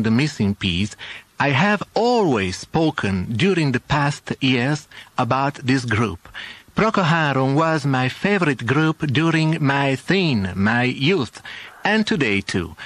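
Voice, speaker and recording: An Italian man with an Italian accent hosting a live radio interview in a halting, polite way. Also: fairly high pitch, a bit bright. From Italy. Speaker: radio host. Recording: orchestral music under radio talk. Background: orchestral music.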